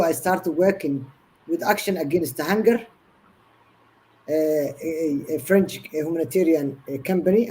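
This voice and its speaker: A woman's voice: low voice, dark tone, poor audio. A woman in her fifties from Somalia, a guest in an online interview, telling her story earnestly in long run-on sentences. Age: 50s.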